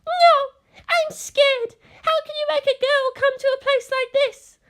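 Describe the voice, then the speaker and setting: A woman's voice: steady tone, unhurried, fairly bright tone. A woman voicing a frightened, indignant young girl who pleads and scolds defiantly in an audiobook story. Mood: scared, indignant. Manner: high-pitched pleading and scolding.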